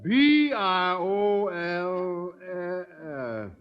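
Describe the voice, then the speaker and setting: A dim hillbilly man, high-pitched and slow, halting over his thoughts in an ungrammatical backwoods accent. It is old radio comedy, with a muffled sound. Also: expressive, clear, resonant tone, exaggerated voice. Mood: dim, puzzled. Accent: exaggerated American hillbilly. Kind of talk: 1950s radio comedy acting. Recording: dull 1950s radio recording with music.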